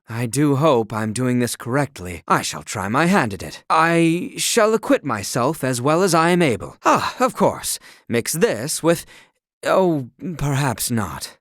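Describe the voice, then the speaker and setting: A gallant, formal young knight. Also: male voice, a little high, fairly bright tone, no room sound. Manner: formal, old-fashioned courtly speech. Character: gallant knightly male swordsman, formal and duty-bound. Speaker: game character voice lines. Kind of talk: video game battle barks. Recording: dry close studio recording.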